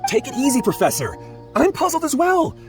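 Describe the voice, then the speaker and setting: A deferential, placating aide in a video game. His voice is high and very animated, over clear music. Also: fairly quick, full character voice. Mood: soothing, puzzled.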